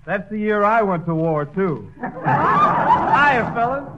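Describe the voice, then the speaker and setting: A man's voice: sits high, wildly swooping pitch, slow pace, heavy background noise. A man guesting on a radio comedy, joking dryly. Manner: dry, joking. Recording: dull 1930s radio recording.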